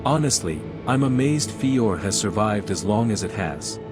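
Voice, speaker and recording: A man voices a scholarly envoy in a fantasy role-playing game. He speaks in a lowish voice, composed and articulate, choosing his words evenly. Music plays clearly underneath. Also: animated, normal speed, natural voice. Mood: thoughtful. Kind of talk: video game acting. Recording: music behind the voice.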